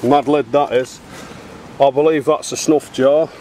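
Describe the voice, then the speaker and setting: A man's voice: mid-range voice, steady tone, a little slow, warm tone. A middle-aged man, chatting casually and bluntly as he shows finds on a handheld camera outdoors. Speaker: amateur bottle digger filming finds. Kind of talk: casual chat, showing finds. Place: outdoors in a wooded dig site. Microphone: handheld camera microphone. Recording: clear outdoor background noise on handheld camera.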